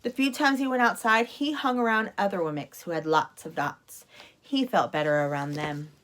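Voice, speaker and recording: A woman's voice: medium pitch, normal speed, a bit bright, a little hiss or hum. A woman reading a children's storybook aloud expressively, like a teacher at story time.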